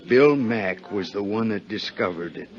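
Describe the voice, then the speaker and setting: Low-voiced, rough, frightened old-radio sailor. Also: male voice, animated, unhurried. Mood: confiding, uneasy. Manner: rough uneducated sailor's speech. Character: frightened, hard-drinking able seaman, ship's carpenter. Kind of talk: 1950s American radio drama acting. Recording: muffled 1950s radio recording.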